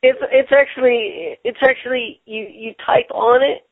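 A man hosting a casual radio show, talking haltingly and repeating words, with many fillers. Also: very high-pitched, steady tone, no echo. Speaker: show host. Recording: tinny phone-line sound.

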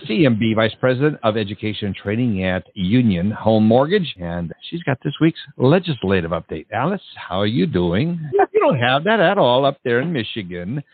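A man's voice: medium pitch, sing-song, slightly rough. A man hosting a radio talk show, thanking guests and introducing the next one with friendly banter. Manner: friendly, quick host banter. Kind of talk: casual radio chat. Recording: dry phone-line audio.

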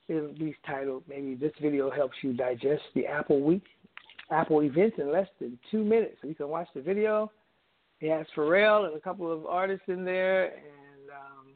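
A man's voice: fairly high pitch, gravelly. A male co-host chatting casually about tech news, hesitant and full of filler words. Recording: tinny phone line.

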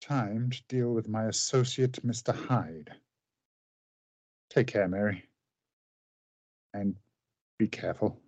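A man playing a guarded, irritable gentleman doctor in a radio play, with a husky, creaky voice. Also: lowish voice, slow pace, pauses often, dry recording, dull audio. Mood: guarded, weary.

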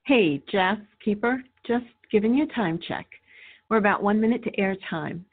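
A woman playing a businesslike radio producer and announcer, composed and gently prompting, with a narrow-band sound. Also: mid-range voice, expressive, moderate pace. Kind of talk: studio cues and programme announcing.

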